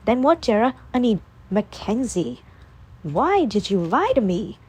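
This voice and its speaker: A woman voicing a hurt, stern young man who speaks low and slow. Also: very animated, darkish tone, husky, exaggerated voice. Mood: hurt, cold.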